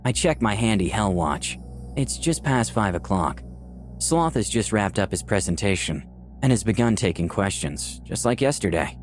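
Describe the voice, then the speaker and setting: Man playing an earnest low-voiced young fan, music behind. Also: expressive, normal speed, close to an everyday voice. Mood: relaxed, confident. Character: eager young male tech fan, narrating his own story. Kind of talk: first-person visual novel narration.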